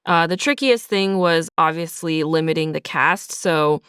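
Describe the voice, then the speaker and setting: A woman's voice: sits low, unhurried, fairly bright tone, smooth, no room sound, studio quality. A woman giving casual, reflective interview answers as a writer, explaining her creative process in a conversational way. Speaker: audio drama writer and creator being interviewed.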